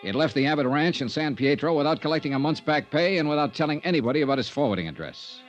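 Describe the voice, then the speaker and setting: A man's voice: a little high, animated, fast, faint music behind, natural voice. Man playing a wry hard-boiled American radio detective. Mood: dry, narrating. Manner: clipped, probing, dry. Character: hard-boiled, persistent male insurance investigator, wry and self-assured. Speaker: lead actor and narrator. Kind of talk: 1950s radio detective drama acting. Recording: noisy vintage radio recording.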